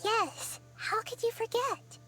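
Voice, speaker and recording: Very high-pitched, childlike girl deity. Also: takes it slowly, over music, poor audio. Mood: curious. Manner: gentle, reassuring, thoughtful. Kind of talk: video game voice acting.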